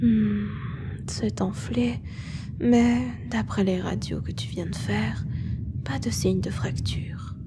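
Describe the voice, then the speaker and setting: A woman playing a caring, attentive monster-girl nurse in a French roleplay ASMR, speaking in a soothing, hushed way. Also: mid-range voice, fairly even intonation, slow, background noise, close to an everyday voice. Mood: tender, attentive.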